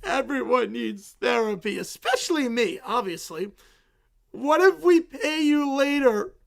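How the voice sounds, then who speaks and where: A man voicing a group of entitled, whining cartoon kids who complain dramatically and shout. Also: very high voice, expressive, a little slow, creaky, full character voice. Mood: whiny.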